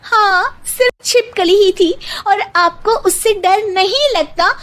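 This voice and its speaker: A woman's voice: very high-pitched, slow, a bit bright, full character voice. A woman voicing a timid young daughter-in-law in a cartoon, with a squealing, whiny way of talking. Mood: indignant.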